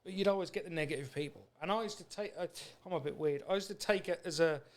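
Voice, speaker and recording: A man with a British accent and a notably high-pitched voice. He talks quickly in a casual, informal podcast style, rambling along in a matey way as the host. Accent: British English. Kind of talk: casual chat.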